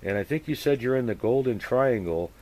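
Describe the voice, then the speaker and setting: A man chatting casually as a radio operator, using procedure words and speaking haltingly as he thinks aloud. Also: mid-range voice, lively intonation, a little mellow, low-quality recording. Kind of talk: casual two-way radio chat with call-and-response procedure words.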